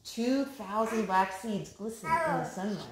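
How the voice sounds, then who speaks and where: A woman in her thirties reading picture books aloud to young children at home, in an animated, child-directed way with drawn-out words. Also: fairly high pitch. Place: living room at home, indoors. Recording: home recording with background noise.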